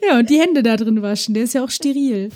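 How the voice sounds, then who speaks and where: A woman's voice: a little high, fast, darkish tone. A woman, a biologist co-hosting a podcast, who jokes around and laughs often. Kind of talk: casual science chat.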